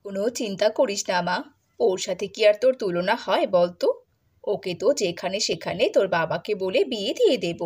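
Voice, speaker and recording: A woman acts out a cruel middle-aged cartoon stepmother. She is theatrical and scornful, quick to scold and given to gushing over her favourite child. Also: medium pitch, darkish tone, slightly rough, lightly acted. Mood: doting, smug. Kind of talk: Bengali cartoon dubbing.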